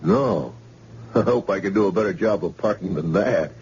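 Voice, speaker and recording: An actor playing a level, businesslike police detective sergeant in an old-time radio drama. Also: male voice, sits low, animated, moderate pace, creaky, a little mellow, breathy edge, full character voice. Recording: hissy, muffled old radio recording.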